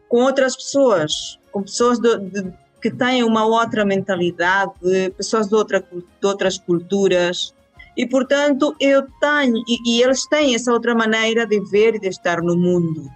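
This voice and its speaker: Earnest Venezuelan woman guest. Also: medium pitch. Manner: warm, earnest, with repeated restarts. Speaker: university department head. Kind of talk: interview answers.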